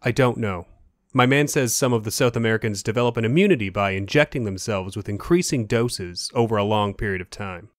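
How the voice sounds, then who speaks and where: A man's voice: lowish voice, brisk, no echo, close to an everyday voice. Man playing a matter-of-fact medical colleague, dry sound. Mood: informative. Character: matter-of-fact male hospital lab colleague reporting findings. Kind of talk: remake of classic radio mystery acting.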